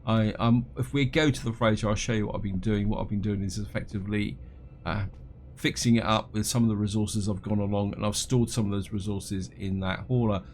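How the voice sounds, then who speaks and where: A man with a croaky, congested voice from a cold, casually commenting on his gameplay and thinking aloud as he goes. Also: on the low side, steady tone, fairly quick, a little mellow, a little hiss or hum. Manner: rambling, thinks aloud with fillers and restarts; reads on-screen game text aloud.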